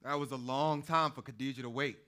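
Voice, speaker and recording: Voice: mid-range voice, steady tone, a little fast, sharp tone. An actor playing an impatient, flirtatious man with terse, colloquial talk in a staged play reading. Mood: mellow, flirtatious.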